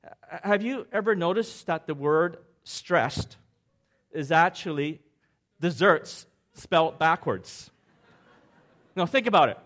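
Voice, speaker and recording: Upbeat joking pastor from Hong Kong. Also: male voice, fairly high pitch, animated, takes it slowly, long pauses, a bit bright. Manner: jokey, upbeat, asks the crowd questions. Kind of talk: sermon with audience interaction.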